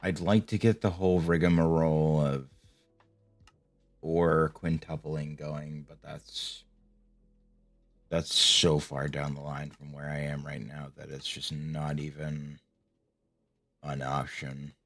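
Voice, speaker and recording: A man casually talking to himself while playing a game, low-key and mumbling, with a voice he says people call soothing. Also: flat delivery, slow pace, pauses often, no echo, soft background music. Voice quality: voice called soothing. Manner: low-key, mumbling, swears when frustrated. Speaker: streamer. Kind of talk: casual gameplay commentary.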